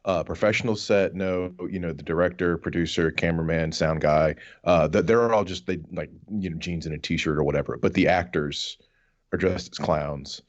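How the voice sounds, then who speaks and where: A man's voice: deep voice, steady tone, normal speed, highs cut off. A man co-hosting a podcast in casual comedic chat, explaining absurd things in a mock-earnest, matter-of-fact way. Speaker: podcast co-host.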